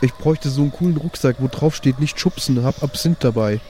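Dark, mellow-voiced man chatting casually. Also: mid-range voice, brisk, a little hiss or hum. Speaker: player in a tabletop roleplaying game. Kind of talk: casual improvised in-character chat.

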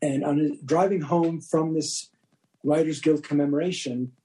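A man's voice: fairly high pitch, steady tone, moderate pace, warm tone, slight background noise, poor audio. An older man, a director being interviewed, explaining past events in a conversational style. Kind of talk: explanatory anecdotal chat.

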